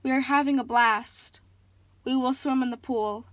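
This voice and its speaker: A woman's voice: high voice, steady tone, moderate pace, dry recording, muffled recording, close to an everyday voice. A woman plays entranced people aboard a cruise ship in an audiobook. They speak in stilted, pleasant phrases with a blank, glazed manner, recorded dry. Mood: blank pleasantness.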